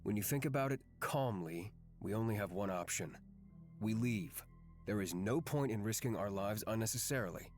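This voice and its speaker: A man's voice: lowish voice, animated, moderate pace, faint music behind, lightly acted. A man voicing a pragmatic, blunt and sardonic companion in a fantasy visual novel, cautious and easily exasperated. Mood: calm, grave.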